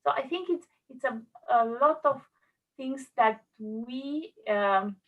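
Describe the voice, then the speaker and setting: A woman, speaking on an online panel in an earnest, halting way with many restarts. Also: high voice, distant mic, phone-quality sound. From Romania.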